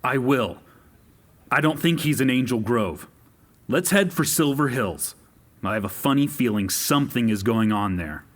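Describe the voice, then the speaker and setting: A man's voice: medium pitch, lively intonation, normal speed, lightly acted. A man playing a cold, ruthless ranger hunter who speaks sternly in a fan audio drama.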